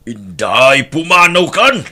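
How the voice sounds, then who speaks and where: Bright, forceful domineering husband played by an actor. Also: male voice, a little high, big pitch swings, slow pace, heavily acted. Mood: curt, irritated. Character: unfaithful husband, curt and domineering. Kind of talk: radio drama acting.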